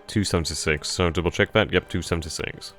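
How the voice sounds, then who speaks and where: A man's voice: deep voice, fairly even intonation, quick pace, fairly bright tone, faint music behind. A man hosting a strategy game stream, thinking aloud over his moves and casually answering chat questions. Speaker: gaming channel host. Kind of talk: live strategy game commentary.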